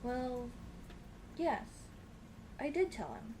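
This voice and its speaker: A woman plays a tomboy childhood friend, young and smitten, in an intimate ASMR roleplay. Her voice sits fairly high and swings widely in pitch as she moves between playful teasing and bashful hesitation. The talk is casual and affectionate, over faint background noise. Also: very animated, extremely slow, exaggerated voice. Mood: embarrassed, fond. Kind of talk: ASMR roleplay acting, intimate girlfriend scene.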